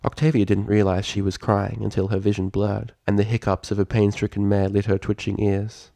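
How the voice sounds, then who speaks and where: Deep-voiced man narrating a story, slightly gravelly. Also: monotone, fairly quick, warm tone, no echo. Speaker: fan fiction narrator.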